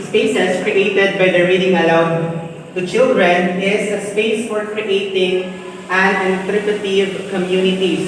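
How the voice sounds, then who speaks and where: A man giving a class presentation as a student, halting and hesitant with many filled pauses. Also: sits high. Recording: very echoey hall, distant mic, noisy.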